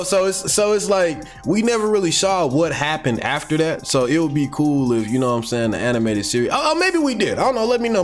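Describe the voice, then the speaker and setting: An American man giving casual, unscripted fan commentary in a slangy, rambling, excitable way, like an online video creator. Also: a little high. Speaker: YouTube commentator on comics and animation. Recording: music playing behind the voice. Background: background music.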